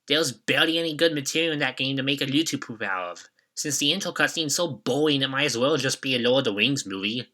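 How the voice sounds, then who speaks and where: A man's voice: medium pitch, bright, forceful tone. A man hosting a game retrospective, giving scripted commentary with casual asides in a jokey, mock-dramatic manner.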